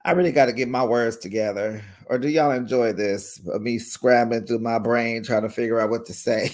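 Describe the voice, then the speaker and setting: A man in an easy, gossipy recap. His voice sits at an ordinary middle pitch. He jumps up in pitch to act out what others said, then drops back to his own talk. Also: steady tone, moderate pace. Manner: chatty, acts out quotes with raised pitch, laughs often. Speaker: recap show host. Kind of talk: casual recap commentary.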